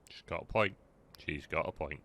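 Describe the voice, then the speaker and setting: A man's voice: very deep voice, moderate pace, slight background noise. A man streaming a video game, giving short, casual commentary over the gameplay. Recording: loud game music behind. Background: video game music.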